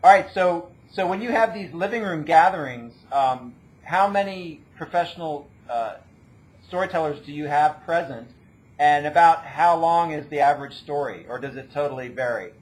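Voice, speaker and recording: Guy interviewer, slightly high voice, lots of uh and so so. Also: lively intonation, rough recording. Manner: encouraging, quick short acknowledgements, hesitant questions. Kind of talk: casual interview questions.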